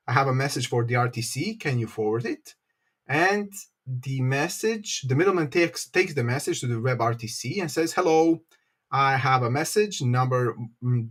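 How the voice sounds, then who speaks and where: A man presenting an informal live tech tutorial, explaining step by step in a halting way with frequent pauses. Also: medium pitch. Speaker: presenter, company developer advocate.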